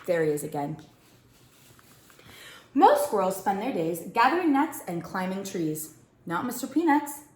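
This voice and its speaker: A woman's voice: mid-range voice, sing-song, unhurried, long pauses, slight background noise. A woman working as a storyteller reads a picture book aloud expressively, addressing young children. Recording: roomy, echoing indoor sound.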